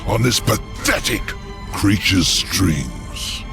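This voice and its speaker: An actor playing an imperious, menacing ancient demon lord in a video game, speaking in a grand, commanding, deliberate manner. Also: male voice, fairly low pitch, wildly swooping pitch, creaky, over music, exaggerated voice. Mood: commanding, contemptuous. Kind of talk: video game character acting.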